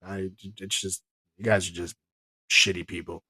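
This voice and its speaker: A man's voice: low voice, level tone, normal speed, sharp tone, slightly rough, no echo. A man hosting a comedy podcast in casual banter, swearing freely and rambling through his stories in a joking way. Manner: profane, joking, rambling storyteller. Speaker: podcast host.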